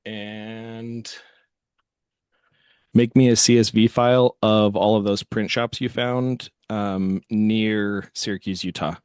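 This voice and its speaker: A man giving a casual software walkthrough as host, thinking aloud as he works. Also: lowish voice, steady tone, slow pace, smooth, no echo, clean studio sound. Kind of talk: casual screen-share walkthrough.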